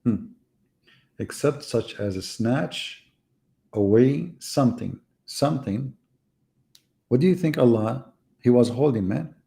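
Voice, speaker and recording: Gravelly, mocking man on a live debate. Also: mid-range voice, expressive, slow pace, long pauses, warm tone. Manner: mocking, sarcastic rhetorical questions. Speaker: debate host. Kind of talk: live online debate monologue.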